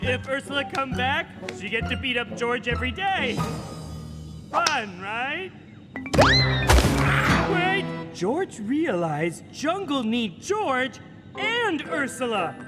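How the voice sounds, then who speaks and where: Dopey friendly cartoon caveman, bouncy pitch, broken grammar, music blaring behind. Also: big pitch swings, slow, full character voice. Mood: pleading, eager. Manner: simple-minded broken caveman speech. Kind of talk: cartoon voice acting.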